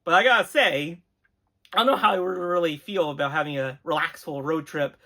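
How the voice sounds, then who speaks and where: The voice belongs to a show host with a medium pitch. The delivery is earnest and emphatic, in the conversational style of an enthusiastic retro cartoon review. It comes across as appreciative commentary aimed straight at viewers. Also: a little fast, bright, forceful tone.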